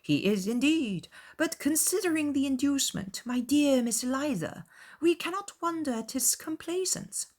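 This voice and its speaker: A woman voicing a gushing, courtly older gentleman in an audiobook dramatization. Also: high voice, expressive, normal speed, pitched above the performer's own voice. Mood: effusive, courtly. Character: effusive, gallant older gentleman, Sir William.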